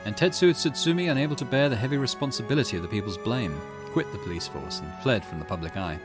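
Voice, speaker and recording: A man reading a visual novel aloud as a solemn, measured narrator of a dark occult mystery. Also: medium pitch, expressive, close to an everyday voice. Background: game music.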